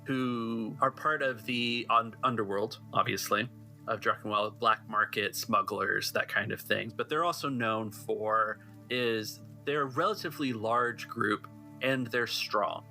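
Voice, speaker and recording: A man's voice: lowish voice, measured pace, vocal fry, bright, forceful tone, soft background music. A man working as game master, narrating a tabletop game in a conversational, improvised way. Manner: conversational, improvised scene-setting.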